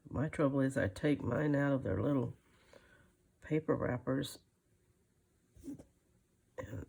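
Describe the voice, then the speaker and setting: Slow, husky, creaky older woman. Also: a bass voice, warm tone. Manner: folksy, rambling, muttering to self. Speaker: craft video host. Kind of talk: casual hand-stitching tutorial, thinking aloud.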